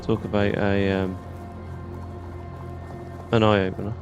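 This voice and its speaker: A man's voice: fairly low pitch, flat delivery, slow pace, lo-fi sound, music in the background. A British man doing casual gameplay commentary, chatty and informal as he muses to himself. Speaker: gaming host.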